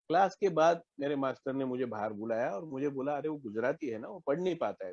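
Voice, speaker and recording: A man's voice: medium pitch, darkish tone, dry recording, muffled recording. A Marathi man sharing his story. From Maharashtra. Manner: candid, reflective, with hesitant fillers and restarts. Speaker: recovering member sharing at a support meeting. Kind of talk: personal testimony.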